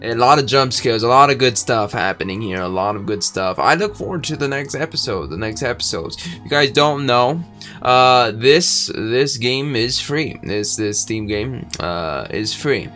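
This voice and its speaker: A man's voice: medium pitch, lively intonation, moderate pace, sharp tone, soft background music. A man gaming on video, reading the game's text aloud and chatting with fillers. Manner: reads game text aloud, rambling with fillers. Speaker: gaming YouTuber. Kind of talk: game playthrough narration and chat.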